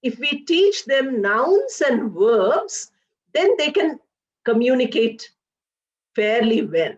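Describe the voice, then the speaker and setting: A woman lectures slowly and with care, on a somewhat high voice, leaning on single words as she lays out ideas step by step. The sound is dull and lo-fi, like an online class picked up through a computer mic. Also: sing-song. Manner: explains step by step with simple examples, stretches words for emphasis. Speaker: English professor giving a lecture. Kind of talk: slow, deliberate academic lecture.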